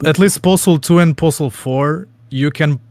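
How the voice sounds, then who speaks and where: A man's voice: on the high side, moderate pace, a little mellow, no room sound, clean studio sound. A man hosting a casual fan Q&A, joking and inviting speakers on. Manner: jokey, laughs often. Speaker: host moderating the Q&A.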